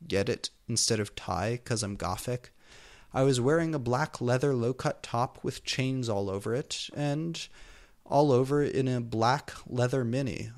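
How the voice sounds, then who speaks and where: Man giving a theatrical comedic reading. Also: medium pitch. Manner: theatrical, exaggerated delivery. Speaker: fan fiction reader.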